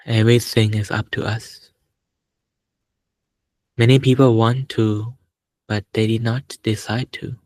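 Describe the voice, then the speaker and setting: A man with a fairly low voice guides a meditation in a very even, level tone. He speaks calmly in short phrases and leaves long pauses between them, like a teacher giving gentle step-by-step instruction. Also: dry recording.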